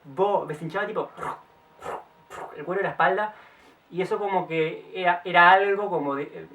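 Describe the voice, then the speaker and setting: A man's voice: a little high, pushed and bright, far from the mic. A young Uruguayan man telling a story to camera in casual, stop-start speech, often restarting his words. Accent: Uruguayan Spanish. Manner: chatty, stop-start, self-correcting. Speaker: vlogger. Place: outdoors in the late afternoon.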